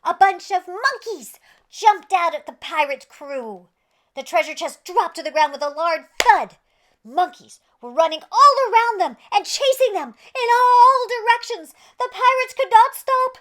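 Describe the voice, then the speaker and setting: Woman, bright high voice, slow playful storybook read-aloud, theatrical emphasis. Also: animated. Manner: theatrical, playful, with exaggerated emphasis and hushed asides. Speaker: children's storybook reader and host.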